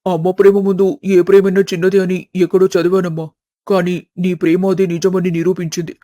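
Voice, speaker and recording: An earnest, repentant young son in a moral family tale, acted by a man. He sounds respectful and mellow, high-pitched for a man, recorded dry and close. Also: level tone, a little fast, dry recording, lightly acted. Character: repentant grown son, devoted younger brother.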